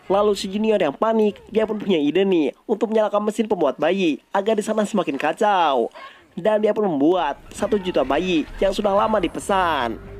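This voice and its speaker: A man's voice: sits high, moderate pace, a little mellow, a little hiss or hum. A man with an Indonesian accent narrates a film recap in a casual style.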